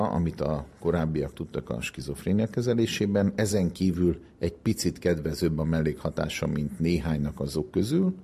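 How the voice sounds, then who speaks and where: Low husky guy, dark mellow voice, research director explaining science stuff in an interview. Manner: explains in long, clarifying spoken sentences, restating terms.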